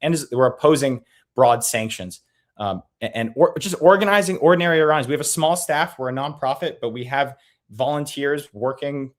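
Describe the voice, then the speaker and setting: A man from the United States, a guest on a political interview, speaking earnestly and quickly with many restarts and small nervous laughs over a video call. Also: mid-range voice. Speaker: advocacy group guest.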